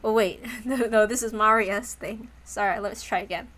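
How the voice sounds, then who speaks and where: A woman's voice: medium pitch, steady tone, normal speed, fairly bright tone. A woman narrating a scripted story as its reader.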